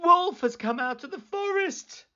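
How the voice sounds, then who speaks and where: A woman's voice: extremely high pitch, animated, unhurried, sharp tone, lo-fi sound, voice pushed up, exaggerated voice. A woman voicing a mischievous young shepherd boy in an exclamatory, dramatic storybook manner. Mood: urgent alarm.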